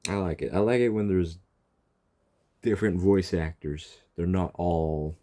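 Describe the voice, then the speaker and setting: A man's voice: low voice, a little slow, mellow. A man giving casual reaction commentary over gameplay, teasingly talking back to the characters. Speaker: gaming video creator.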